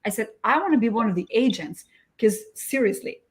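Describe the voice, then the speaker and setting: Animated woman hosting a live show. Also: a little high. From Albania. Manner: animated, storytelling, quick to laugh. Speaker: host. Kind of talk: casual live interview chat.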